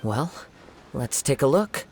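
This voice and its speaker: A man voicing a calm, thoughtful young wandering samurai in a video game, speaking in a measured, formal, reflective way. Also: medium pitch, noisy background. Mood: composed, grave. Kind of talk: video game character dialogue.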